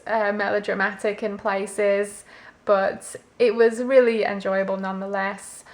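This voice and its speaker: Female book vlogger, casual and conversational, easygoing delivery with little pitch movement. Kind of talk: casual chatty vlog about books.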